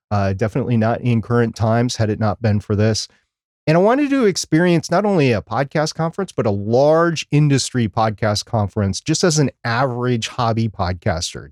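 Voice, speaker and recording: A man's voice: medium pitch, darkish tone, dry recording. Emphatic man co-hosting a podcast, dry sound. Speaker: podcast co-host. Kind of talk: casual live-stream podcast talk.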